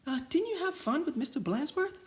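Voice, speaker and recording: A man voicing a sly, kindly woman schoolteacher from a storybook in a high, scratchy falsetto. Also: expressive, fairly quick. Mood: sweetly sly. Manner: exaggerated storybook delivery.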